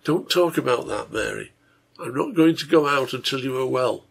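A man's voice: mid-range voice, animated, normal speed, gravelly, husky. A man voicing a remorseful drunkard father in a story, tender and anguished, pleading with a breaking voice. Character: wretched guilt-ridden drunkard father, tender, despairing.

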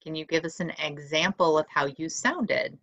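Woman reading out viewer questions, lowish but bright voice, matter of fact. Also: expressive, moderate pace, gravelly. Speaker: moderator reading audience questions.

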